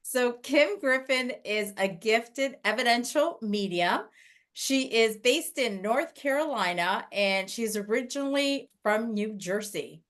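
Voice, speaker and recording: High-voiced, gushing woman podcast host. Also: animated, slow, fairly bright tone. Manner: gushing, enthusiastic storyteller. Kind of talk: casual interview chat.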